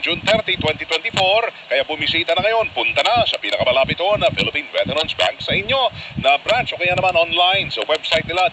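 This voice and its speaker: A man with a Filipino accent giving a conversational live read of an ad as a radio announcer. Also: on the high side. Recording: noisy AM radio aircheck.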